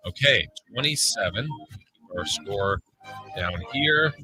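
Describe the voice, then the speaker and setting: A man hosting sports talk radio, running a quiz game with lively banter. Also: lowish voice, takes it slowly, soft background music. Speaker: radio show host.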